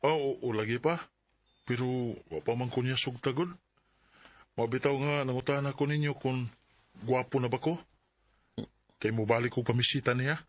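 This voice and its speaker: A man acts out an earnest young suitor in a Bisaya radio drama. His mid-pitched voice is a little unclear, with a Bisaya accent. He pleads hesitantly, like an insecure young man in love. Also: steady tone, normal speed, long pauses, lightly acted. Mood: eager. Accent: Filipino, Bisaya.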